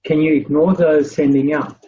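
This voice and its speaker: A man's voice: on the high side, fairly even intonation, normal speed, warm tone. A man attending an online session, casually asking questions. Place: remote online meeting. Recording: dull, noisy online call audio.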